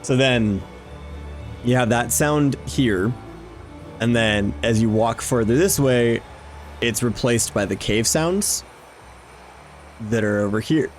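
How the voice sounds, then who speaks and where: A man's voice: mid-range voice, slow pace, long pauses. A man giving casual, informal game commentary with an enthusiastic manner. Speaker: video game vlogger, host. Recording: music behind the voice. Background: game background music.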